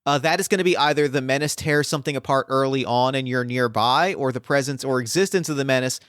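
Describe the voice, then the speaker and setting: A man's voice: medium pitch, fast talker, a bit bright, smooth, no room sound, clean studio sound. A man hosting as game master, improvising narration for a tabletop roleplaying game with enthusiasm, often repeating words as ideas form. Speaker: game master, podcast host.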